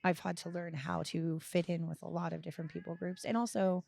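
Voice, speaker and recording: Podcast guest, female, early 20s: lowish voice with heavy fry, thoughtful and halting conversational delivery. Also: level tone, a little mellow, no echo. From United States, grew up partly in Florida and abroad. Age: early twenties. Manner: halting, reflective, self-correcting. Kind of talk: candid conversational interview answers. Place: small indoor lounge, on a leather couch. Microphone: close boom-arm podcast microphone with headphones.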